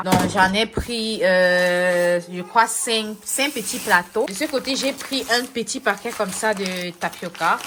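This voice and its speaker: A woman vlogger, hoarse from a cold and tired after work, chatting casually to camera about cooking and groceries, with many fillers. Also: medium pitch, noisy background. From now living in Canada. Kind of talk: casual vlog chat. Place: home kitchen.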